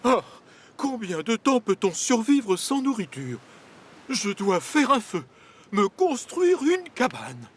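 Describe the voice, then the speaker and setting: A man voicing a pompous, panicky older gentleman in a French cartoon dub, stammering and melodramatic as he grumbles. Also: very high voice, huge pitch swings, takes it slowly, husky, exaggerated voice. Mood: gloomy, dramatic.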